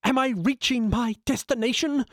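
A storyteller voicing a hurried, self-important male philosopher who shouts and then turns humble, in a read-aloud story. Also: mid-range voice, no room sound, clean studio sound, voice pushed up, natural voice. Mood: indignant.

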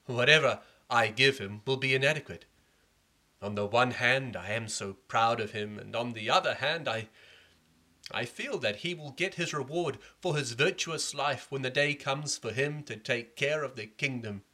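A man voicing a proud and stern older king who speaks in a measured, fatherly way. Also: medium pitch, bright, forceful tone.

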